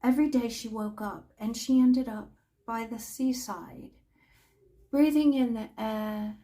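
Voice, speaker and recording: Woman playing a slow, imperious magical storyteller woman. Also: fairly high pitch, steady tone, mellow, natural voice. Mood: wistful, storytelling. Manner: theatrical, haughty, deliberate dramatic pauses. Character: imperious, mischievous older female magical storyteller in a fantasy world. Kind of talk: in-character fantasy storytelling roleplay.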